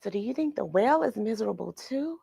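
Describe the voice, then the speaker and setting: A woman telling a children's picture story in an expressive way, pausing for chatty questions to the young listener. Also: fairly high pitch, gravelly, breathy edge, dry recording, studio quality. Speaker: children's read-along storyteller and host. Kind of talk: animated picture-book read-aloud with questions to young listeners.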